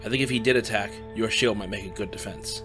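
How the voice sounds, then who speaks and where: A man playing a tired, fast-talking young student. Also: on the low side, music in the background, natural voice. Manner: blunt, swears when tired. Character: young male student with magic powers, weary.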